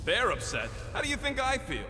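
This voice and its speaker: A man's voice: extremely high pitch, huge pitch swings, brisk, long reverb tail, very noisy, exaggerated voice. A man voicing a grouchy, sarcastic talking raccoon mercenary in a video game, snappy and griping. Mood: sour sarcasm.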